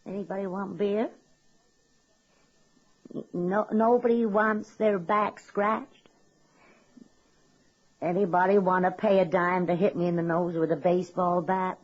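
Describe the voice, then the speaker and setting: Folksy, husky, plucky woman. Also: fairly low pitch, steady tone, a little slow, long pauses. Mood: rueful, consoling. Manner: homespun, plain-spoken. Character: folksy, good-hearted, plucky mayoral candidate, a woman. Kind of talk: radio comedy-drama acting. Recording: muffled old radio recording.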